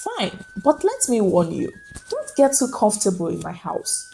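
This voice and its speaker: A woman's voice: on the high side, huge pitch swings, normal speed, gravelly, warm tone, faint music behind, exaggerated voice. A woman voicing a proud, jealous, wealthy madam who sneers and snaps at her employee in a dramatic folktale. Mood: threatening. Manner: sneering, snapping.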